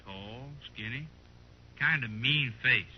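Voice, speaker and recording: An actor playing a talkative, folksy frontier gun shopkeeper in a 1950s radio western. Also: male voice, mid-range voice, takes it slowly, a little hiss or hum, natural voice. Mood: curious. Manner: folksy drawling storekeeper talk. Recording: muffled old 1950s radio recording.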